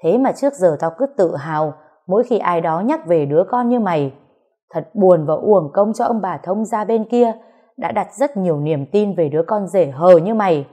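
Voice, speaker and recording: A woman's voice: medium pitch, normal speed, warm tone, breathy edge, lightly acted. A woman voicing a proud, hot-tempered older father who scolds his son harshly in an audio story. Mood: furious shouting.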